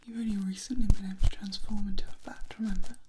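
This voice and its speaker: Woman doing whispery asmr vampire lady, ancient n motherly, gentle and formal, noticeable noise behind her. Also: medium pitch, little pitch movement, fast talker, close to an everyday voice. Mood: fond, chiding. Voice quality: whispery, breathy. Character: ancient female vampire, tender, protective, distrustful of humans. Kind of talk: soft-spoken ASMR roleplay.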